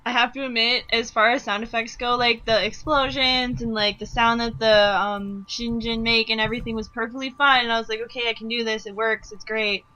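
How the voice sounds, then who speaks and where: Girl with a really bright highish voice, chatting on a fandub commentary. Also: level tone, a little fast, hardly any pauses, poor audio. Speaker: fandub sound effects editor on a commentary track. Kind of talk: casual group commentary.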